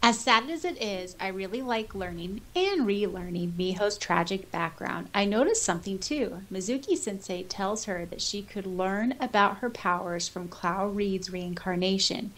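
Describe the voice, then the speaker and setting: A woman's voice: medium pitch, normal speed, few pauses, fairly bright tone, low-quality recording. A woman co-hosting a podcast, reading out listener comments and chatting casually with a giggly, conversational manner. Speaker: podcast co-host.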